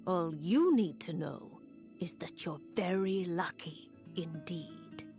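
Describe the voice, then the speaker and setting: A woman playing a composed, knowing seer witch in a video game, with a measured, authoritative manner. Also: lowish voice, over music. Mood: solemn, knowing. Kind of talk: video game character acting.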